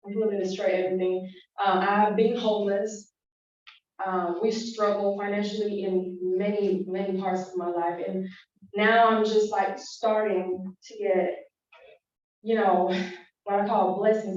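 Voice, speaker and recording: A young woman from Puerto Rico whose first language is Spanish, giving an earnest, halting thank-you speech full of ums as a scholarship recipient. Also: mid-range voice, darkish tone. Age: young adult. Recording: echoey hall, distant and dull.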